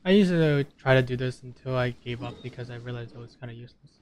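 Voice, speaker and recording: A man's voice: mid-range voice, quick pace, a little mellow. A man giving casual gameplay commentary, talking offhandedly as if to himself while he plays. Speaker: gamer, streamer.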